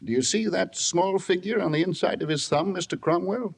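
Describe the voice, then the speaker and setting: An actor playing a stern, shrewd judge with a gravelly voice, admonishing in measured old-time radio drama style. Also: male voice, high-pitched. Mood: stern, firm.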